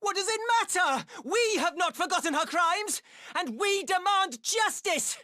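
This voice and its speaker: A woman playing an aggrieved villager in a video game, pleading forcefully and with passion. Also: very high-pitched, expressive, a little slow, no room sound, studio quality, full character voice. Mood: indignant, pleading. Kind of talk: dramatic game acting.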